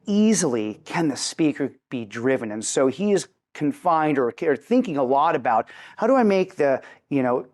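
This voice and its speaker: A man's voice: mid-range voice, a little mellow. A man speaking as a product representative in an interview, articulately explaining technical details with rhetorical questions. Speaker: company representative presenting a product.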